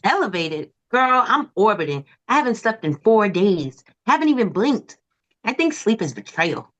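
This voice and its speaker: Actress as manic sleepless female patient, defiant, bitter, mid-pitched, dull lo-fi recording, drama table read. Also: very animated, normal speed, a bit bright. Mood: manic, restless. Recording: slightly dull, lo-fi audio.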